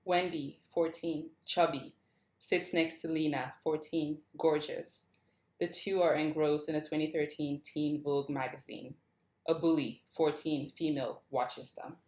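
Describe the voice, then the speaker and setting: Low-voiced woman reading stage directions, roomy sound. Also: steady tone, a little slow, a little mellow. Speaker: table read host and stage directions narrator. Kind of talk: script read aloud at a casual table read.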